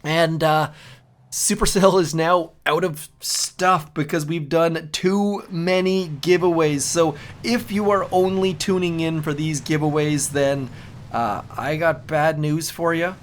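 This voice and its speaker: A man's voice: a little high, slow pace, slight background noise. Chatty youthful-voiced man, gameplay host. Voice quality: youthful-sounding voice. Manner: chatty, rambling, repeats words. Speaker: game channel host. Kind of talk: casual gameplay commentary.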